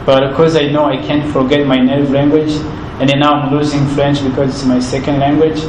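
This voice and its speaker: A young man with a Rwandan accent, a native Kinyarwanda speaker, talks earnestly in an interview. He speaks haltingly and repeats words as he searches for them. The recording has a roomy echo and steady background noise. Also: mid-range voice. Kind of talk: heartfelt interview answers.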